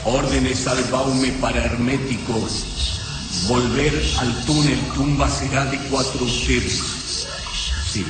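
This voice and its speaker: An actor playing a cold, menacing alien invader in a radio drama, speaking lugubriously in broken, solemn fragments with inverted word order. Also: male voice, mid-range voice, steady tone, slow, close to an everyday voice. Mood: commanding. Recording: cavernous echo, loud music underneath.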